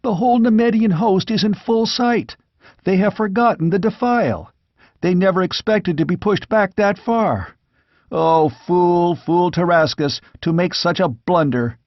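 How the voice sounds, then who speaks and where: A high, breathless young squire shouts out a battle as it unfolds, voiced by a man reading a storybook aloud. His frantic, urgent delivery stays well above the reader's own pitch. The recording is dry and close, with a dull, lo-fi tone. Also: unhurried, no echo. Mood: anguished.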